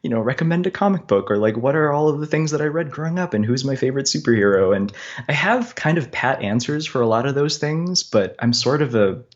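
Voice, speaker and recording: A man's voice: mid-range voice, lively intonation, fairly quick, dull audio. A man talking as a guest cartoonist in a casual, thoughtful interview, explaining things in an articulate, chatty way. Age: adult.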